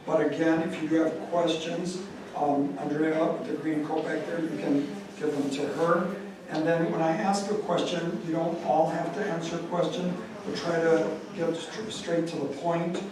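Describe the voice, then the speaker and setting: A man's voice: on the high side, steady tone, normal speed. Man moderating in an echoey hall. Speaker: moderator of a community panel. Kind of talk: informal hosting at a public meeting. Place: large echoey room at a public meeting. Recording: very echoey hall, distant mic, crowd noise.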